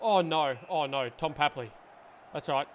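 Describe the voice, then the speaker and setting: A young man with a hoarse voice, reacting excitedly and yelling while playing a football video game. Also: fairly high pitch, normal speed. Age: young adult. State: hoarse, says he lost his voice. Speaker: gaming YouTuber. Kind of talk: reactive gameplay commentary. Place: indoor gaming room, seated in gaming chair. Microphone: headset, facecam.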